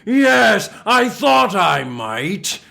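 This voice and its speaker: Eccentric admiral, super slow and gravelly, voice swooping all over, teasing comedy drama. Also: male voice, high-pitched, very animated, pushed and bright, full character voice. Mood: sly, unruffled. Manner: drawling, teasing, theatrical delivery. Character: eccentric admiral, unruffled, sly, theatrical. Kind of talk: audio comedy drama acting.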